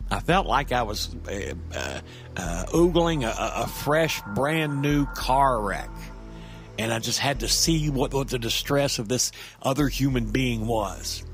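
A man playing a nervy, streetwise guy telling a first-person horror story in a halting, colloquial way. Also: medium pitch, animated, soft background music. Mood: uneasy. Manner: halting, colloquial, with tag questions like "yeah?". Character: streetwise, nervy man recounting a creepy encounter. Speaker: storyteller in an audio fiction podcast. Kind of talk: first-person horror monologue performed as casual talk.

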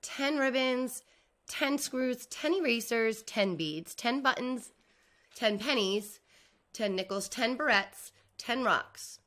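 Female storybook reader, middle-aged teacher type, very lively pitch, unhurried and carefully enunciated. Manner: deliberate enunciation, animated for young listeners. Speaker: teacher reading aloud. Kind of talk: expressive children's picture-book read-aloud. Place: indoors, video recording.

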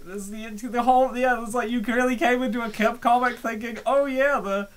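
A man hosting a comic dub, giving joking, theatrical and excitable commentary and reading comments aloud. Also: very high-pitched, a little fast.

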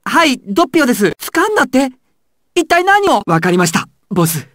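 A woman's voice: high-pitched, sing-song, a little fast, very noisy. A woman voicing a nervous, stammering young male gangster in anime style, servile yet volatile. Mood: timid, deferential. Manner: stammering, swings from timid to explosive. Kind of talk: anime and game dramatic acting.

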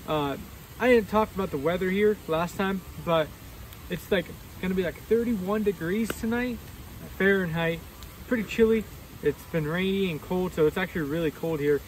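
A man's voice: high-pitched, a little mellow, a little hiss or hum, rough recording. A man chatting casually for his camping vlog at an outdoor forest campsite, rambling with lots of "uh" and "like". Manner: laid-back, rambling with many "uh" and "like" fillers. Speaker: overland camping vlogger.

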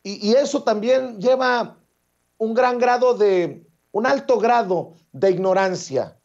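A middle-aged man hosts a political talk show from his office over a video call. His voice sits high for a man and comes through muffled and lo-fi. He talks fluently and sarcastically, with a habitual "eh" between phrases. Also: slow, darkish tone. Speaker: host. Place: office with window blinds, indoors. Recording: dull lo-fi webcam audio.

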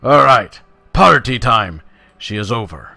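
A man's voice: mid-range voice, animated, unhurried, gravelly, pushed and bright, no room sound, faint music behind. A man giving casual, playful game commentary, chatting to himself and to viewers as he plays. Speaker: gaming video maker.

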